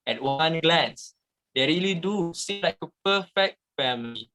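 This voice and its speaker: A man narrating a story as a scripted dramatic reading, with theatrical, deliberate emphasis. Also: on the high side, animated, pushed and bright.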